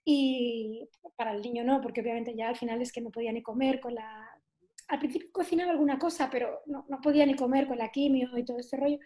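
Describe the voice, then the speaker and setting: A woman's voice: fairly high pitch, fairly even intonation, fast, mellow. A woman, a nutrition therapist telling her own story as an interview guest, chatty and full of fillers and tag questions. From southern Spain. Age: 40s.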